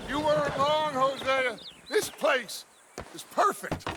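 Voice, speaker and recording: An actor playing a grandiose, persuasive American outlaw gang leader in a video game, speaking in an oratorical, emphatic way. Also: male voice, very high-pitched, slow, background noise. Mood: triumphant. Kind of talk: theatrical video game acting.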